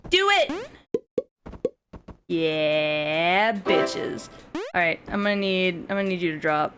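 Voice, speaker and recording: A young woman with a deep, smoky voice, chatting and cursing casually while streaming a game. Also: lively intonation, takes it slowly. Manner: crude, swears, laughs, sings along. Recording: faint music behind.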